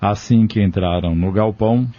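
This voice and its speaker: Low, mellow Brazilian male narrator. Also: moderate pace. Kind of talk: scripted audio drama narration. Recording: dull audio with music underneath. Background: music.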